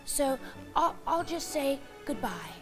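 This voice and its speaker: Woman playing Dorothy, an earnest high-voiced girl. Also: very animated, slow pace, soft background music, heavily acted. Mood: apologetic. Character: kind, earnest little girl traveller.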